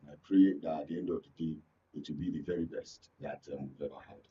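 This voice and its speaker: A Nigerian man gives measured panel remarks in a very deep voice. He is a bit far from the mic, with faint noise behind him. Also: steady tone, lo-fi sound. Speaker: panel guest. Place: radio and TV studio.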